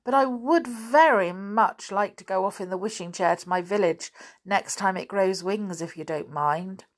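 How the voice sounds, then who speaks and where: A woman's voice: mid-range voice, moderate pace, lightly acted. A woman voicing a small, kindly, anxious male pixie in an earnest storybook reading. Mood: sad. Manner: earnest, fretful storybook delivery.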